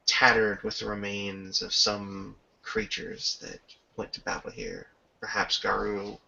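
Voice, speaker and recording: A man's voice: on the low side, vocal fry, sharp tone, highs cut off. A man narrating a tabletop roleplaying game as game master, with ornate, descriptive storytelling.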